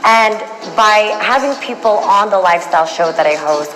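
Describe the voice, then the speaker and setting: A woman with a medium-pitched voice delivers a scripted personal introduction as a pageant contestant. Her voice carries long hall reverb, with soft music behind it. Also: animated, moderate pace. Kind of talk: scripted self-introduction to camera.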